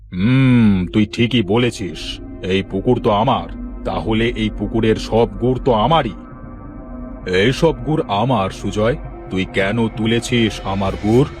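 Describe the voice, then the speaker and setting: A man's voice: fairly low pitch, a little slow, over music, lightly acted. A man voicing a greedy, spiteful, bossy village headman in a children's cartoon story. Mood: greedy, possessive.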